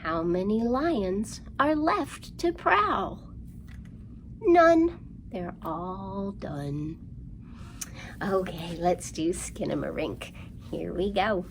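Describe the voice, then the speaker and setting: Slow, very expressive woman leading children's storytime. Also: medium pitch, slight background noise. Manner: animated, sing-song, addressing young children with prompts. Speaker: children's library storytime presenter. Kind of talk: children's storytime with rhymes, counting and songs.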